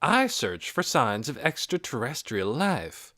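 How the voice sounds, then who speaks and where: A man's voice: medium pitch, big pitch swings, measured pace, bright, forceful tone, dry recording, clean studio sound. A man voicing an eccentric, secretive male astronomer in an audiobook, intense and emphatic, with clipped musing phrases. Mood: intense, scornful. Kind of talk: audiobook character voice.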